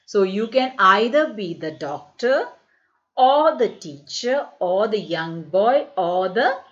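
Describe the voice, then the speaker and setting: A woman from India teaching an English lesson to children, repeating words slowly and coaxing her pupils to speak. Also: mid-range voice, very animated, muffled recording.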